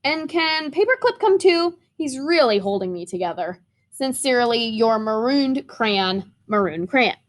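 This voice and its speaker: Lady doing a broken crayon from a kids book, highish, aggrieved, kinda sardonic. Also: sing-song, a little slow. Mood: aggrieved, pleading. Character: wronged, broken maroon crayon, sardonic but hopeful.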